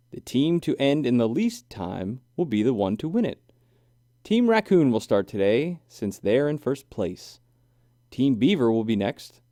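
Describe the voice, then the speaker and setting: Male animal judge for a children's tale, voiced by a man, official, measured rule-giver with animated intonation. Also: mid-range voice, no room sound, clean studio sound. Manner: instructive, emphatic, official. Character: male animal judge explaining storybook game rules.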